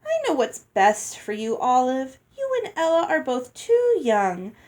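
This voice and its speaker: A woman's voice: high-pitched, huge pitch swings, measured pace, darkish tone, voice pushed up, exaggerated voice. A woman voicing a haughty, greedy, bossy girl from a storybook, condescending and falsely sweet. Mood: smug, falsely sweet.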